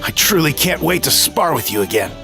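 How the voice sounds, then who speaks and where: An actor playing a stoic, serious martial artist in an anime video game. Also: male voice, fairly high pitch, very animated, a little fast, vocal fry, over music. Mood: respectful, calm. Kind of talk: English anime game voice acting.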